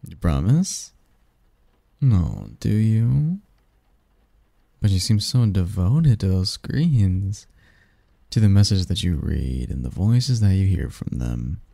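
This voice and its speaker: A man playing a possessive, teasing sleep demon lover in an intimate ASMR roleplay, speaking softly in formal, flirtatious phrases. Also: low voice, big pitch swings, takes it slowly, creaky, long pauses, no room sound, full character voice. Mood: possessive, yearning. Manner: flirtatious, formal wording, soft intimate delivery, chuckling.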